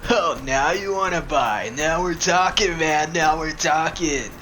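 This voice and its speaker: Dude acting a stoner street dealer, drawly and slangy, kinda high voice, unhurried, music under it. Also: male voice, bright, forceful tone, slight background noise. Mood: laid-back, pushy. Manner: drawling slang, mocking, says dude constantly. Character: laid-back stoner male street drug dealer, mouthy slacker. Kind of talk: audio drama acting. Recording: music behind the voice.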